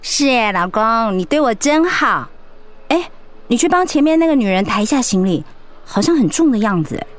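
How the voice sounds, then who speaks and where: An actress playing an outspoken, doting middle-aged wife in a radio drama, with a Taiwanese Mandarin accent. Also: female voice, on the high side, very animated, normal speed. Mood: chatty, admiring.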